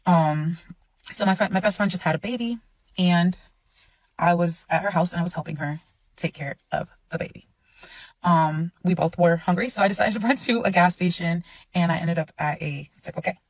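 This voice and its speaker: A woman's voice: lowish voice, moderate pace, dry recording, recorded from a distance. A woman in her twenties telling a personal story in a casual, chatty way, with digressions and explanations. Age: 20s.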